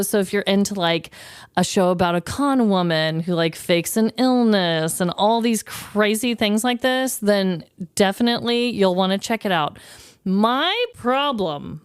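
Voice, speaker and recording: A woman's voice: medium pitch, smooth, no room sound, clean studio sound. A woman in her thirties co-hosting a podcast, chatty and playful, laughing often. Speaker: podcast co-host. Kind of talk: casual chat.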